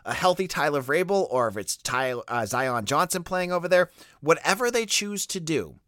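A man with a Boston accent talks sports on his podcast, his pitch swinging up and down as he thinks aloud. His voice is bright and forward. It sits close and dry on the mic, with no room behind it. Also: normal speed, no echo. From Boston area. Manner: animated, thinking aloud with restarts. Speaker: podcast host. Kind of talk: casual sports podcast talk.

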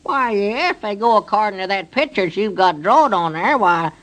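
An actor playing a naive, doubting country storekeeper with a rural Southern US accent, folksy and questioning, in 1930s radio comedy style. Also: male voice, high voice, expressive, normal speed, fairly bright tone. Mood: mildly complaining.

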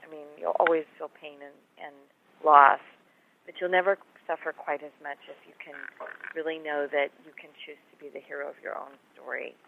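A woman author, slightly hoarse, talking earnestly and reassuringly about her book in an interview. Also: deep voice, fairly even intonation, a little fast, a little hiss or hum. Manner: earnest, reassuring, repeats words. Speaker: author and guest. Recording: phone line audio.

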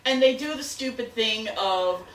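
A woman with an American accent is heard from a little way off the mic in a roomy space, with faint noise behind her. She talks as a podcast co-host in casual review chat, drawing out key words for emphasis in a fairly high voice. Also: normal speed, distant mic. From United States.

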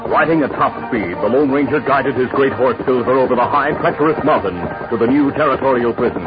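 A man's voice: medium pitch, fast talker. Dramatic male radio narrator over music. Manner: formal, dramatic delivery. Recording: muffled old radio recording. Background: orchestral music.

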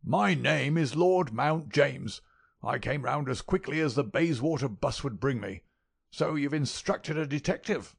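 A man voicing a querulous, miserly little old lord with a sharp crackling voice and a jerky, intense manner. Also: mid-range voice, lively intonation, unhurried, creaky, husky, pitched above the performer's own voice, full character voice. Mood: indignant, stingy.

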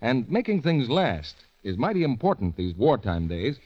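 A man's voice: mid-range voice, sing-song, moderate pace. A man working as a radio announcer, reading scripted 1940s advertising copy on a dull vintage broadcast.

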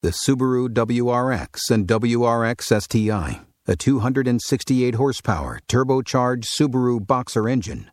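A man's voice: medium pitch, dry recording, studio quality. A man reading a car commercial in a punchy, clipped announcer delivery. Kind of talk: scripted commercial read.

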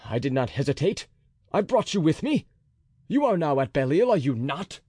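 A man's voice: on the high side, very animated, a little fast, a little mellow, no echo, clean studio sound, pitched above the performer's own voice. A man voicing a fiery, proud musketeer captain who threatens with raised, intense force. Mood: furious. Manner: raised, intense, menacing delivery.

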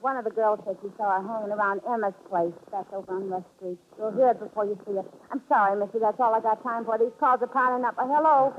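A woman playing a harried, brusque American hotel switchboard clerk in a 1940s radio drama, brisk and offhand. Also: medium pitch, fairly even intonation, lightly acted. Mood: curt, dismissive. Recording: dull, slightly noisy 1940s radio recording.

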